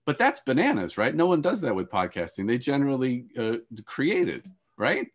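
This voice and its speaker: A middle-aged man hosting a panel on a video call in a conversational, halting way with repeated starts. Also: mid-range voice, huge pitch swings. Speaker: panel host, moderator. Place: room with shelves of boxes. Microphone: video call, earphones.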